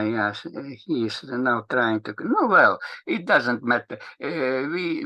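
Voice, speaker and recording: Slow, bright-voiced man with a Latvian accent. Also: fairly high pitch, poor audio. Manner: halting, searching for words, frequent restarts. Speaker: speaker giving a linguistics talk. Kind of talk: unscripted lecture in non-native English.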